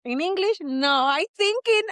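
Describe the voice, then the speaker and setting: A woman's voice: very high-pitched, animated, unhurried, a bit bright, no echo, clean studio sound. A middle-aged bakery owner from Puerto Rico, a woman who speaks English as a second language with a Puerto Rican Spanish accent. She answers interview questions earnestly, often repeating words and restarting.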